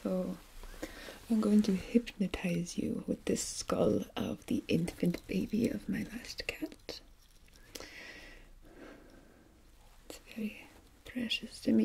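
Bossy vampire ghoul played by a woman, coaxing, drawn-out whisper, dark and creaky, for hypnotic ASMR. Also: medium pitch, slight background noise. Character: wheedling, bossy bald Nosferatu-like vampire ghoul. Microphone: close microphone.

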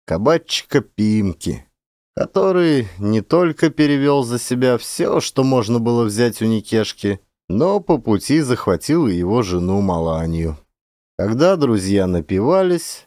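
Guy reading an audiobook story, really animated and theatrical, dry studio-like sound. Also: lowish voice, measured pace, no room sound. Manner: expressive, theatrical delivery. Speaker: audiobook narrator and actor. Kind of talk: dramatized literary storytelling narration.

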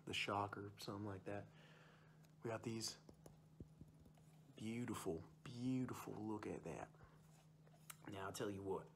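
A man's voice: lowish voice, slight background noise. A young man playing a boastful, folksy uncle who rambles about hunting and fishing in an ASMR roleplay. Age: young adult. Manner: folksy, rambling, repeats stock phrases. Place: small room indoors.

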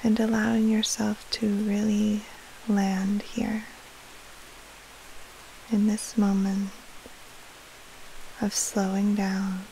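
A woman leading a guided sleep meditation as a yoga nidra teacher, in a hushed, breathy, soothing delivery with long pauses between short phrases. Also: mid-range voice, completely flat delivery, rough recording. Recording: faint hiss and rustle. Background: soft rain-like noise.

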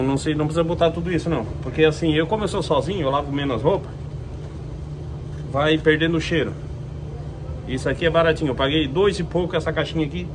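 A man with a Brazilian Portuguese accent chats informally to his viewers, narrating everyday chores as he goes with lots of colloquial diminutives. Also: fairly high pitch. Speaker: vlogger. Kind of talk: casual vlog chat, narrating chores to viewers. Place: inside a self-service laundromat. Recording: noisy laundromat ambience.

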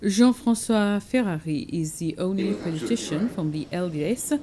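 A woman with a Seychellois accent reading the evening news in a steady, formal way. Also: fairly low pitch, moderate pace, a crowd in the background. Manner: steady formal delivery with a few fillers. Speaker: news anchor. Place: TV studio.

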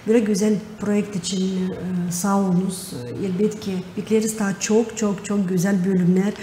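Gagauz woman tv host, soft mellow tone, halting, echoey studio with faint noise. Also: medium pitch, flat delivery. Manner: hesitant, searching for words. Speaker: talk show host. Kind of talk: studio interview. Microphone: lapel.

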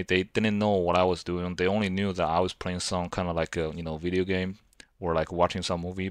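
Chinese-accented man in his twenties, deep voice, dry close sound, loose rambling delivery. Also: no echo. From China. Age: 20s. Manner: rambling, self-deprecating, frequent fillers and restarts. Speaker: vlogger. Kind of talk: casual talk to camera over gameplay.

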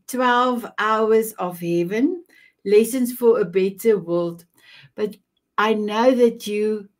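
Woman host of an online talk show, effusive interviewer on a video call mic. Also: medium pitch.

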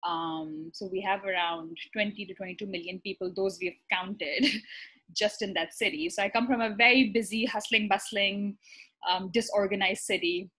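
A young woman from Pakistan, a physician guest, talking casually over a video call from her apartment, pausing often with ums and restarting her sentences. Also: medium pitch, fairly even intonation, bright, forceful tone, breathy edge, poor audio. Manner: thoughtful, many ums and restarts. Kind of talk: casual conversational interview. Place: bright apartment room, indoors. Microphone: laptop webcam on a video call.

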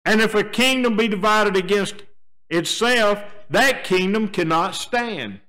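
An older man preaching in a church sanctuary, his voice set a little high. He moves between hushed, low stretches and raised, emphatic appeals to his listeners, speaking from the pulpit microphone. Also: measured pace. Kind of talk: sermon. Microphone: pulpit microphone, filmed by a fixed camera.

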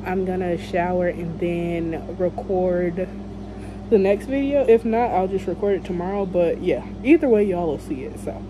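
A woman's voice: on the low side, moderate pace, pauses often, rough recording. A woman vlogger chatting casually and informally to her viewers, thinking aloud as she goes. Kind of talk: casual chat to camera.

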